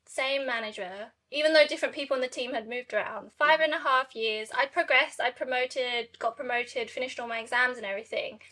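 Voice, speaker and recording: A young woman from England, a podcast guest, chatting casually and telling her story in a relaxed way. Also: high voice, a little fast, few pauses. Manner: short agreeing backchannels, relaxed storytelling. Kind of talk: casual chat.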